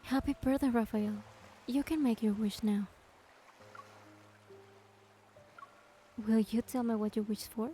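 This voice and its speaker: A woman plays a sweet young heroine in a romance game. Her voice is fairly high yet very mellow, wistful and affectionate, with music underneath. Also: normal speed, lightly acted. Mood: sweet, warm. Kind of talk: romance game voice acting and first-person narration.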